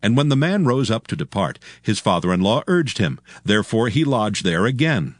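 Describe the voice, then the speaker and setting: A man narrating scripture from a script as a solemn, measured storyteller. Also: lowish voice, very animated, dry recording, clean studio sound.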